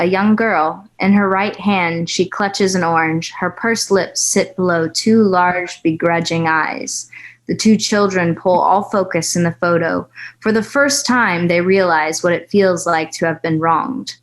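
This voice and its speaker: A woman's voice: on the low side, poor audio. Woman narrating screenplay directions. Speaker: narrator reading stage directions. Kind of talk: table read narration.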